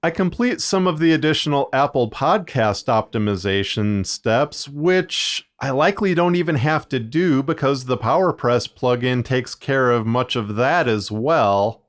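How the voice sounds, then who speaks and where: A man narrating a scripted, conversational tutorial in a measured, plain-spoken and methodical way. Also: mid-range voice, lively intonation. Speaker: podcast creator and tutorial narrator.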